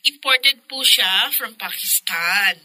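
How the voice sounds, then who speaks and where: A Filipina shop seller in a radio drama, polite and perky. Her high, piercingly bright voice delivers smooth, courteous sales patter. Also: slow pace, full character voice, low-quality recording. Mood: perky, accommodating. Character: polite, perky female online lamp seller.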